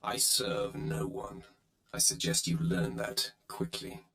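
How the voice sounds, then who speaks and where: An actor playing a proud, menacing Sith warrior in a video game, haughty and curt, with a gravelly, forceful voice. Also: male voice, rumbling low, slow, roomy sound, exaggerated voice. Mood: cold, threatening.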